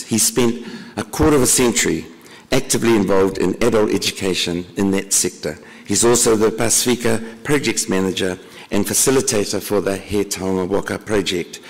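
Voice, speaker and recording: A man introducing a conference plenary session, with dry deadpan jokes and frequent throat clearing. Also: deep voice. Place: conference hall. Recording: long hall reverb.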